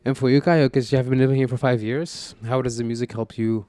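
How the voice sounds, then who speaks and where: A man hosting a radio show, interviewing guests in a relaxed way with frequent affirming murmurs. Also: medium pitch, fast, clean tone, no echo. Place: radio studio.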